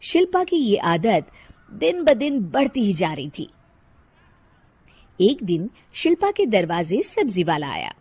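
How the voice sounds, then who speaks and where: A woman narrating a cartoon story in a soft, even storytelling delivery. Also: medium pitch, slow, long pauses, slightly rough.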